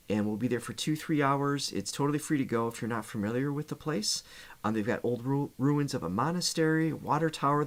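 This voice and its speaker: Friendly joking man hosting a livestream. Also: medium pitch. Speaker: host of a music reaction livestream. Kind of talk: casual livestream chat with announcements.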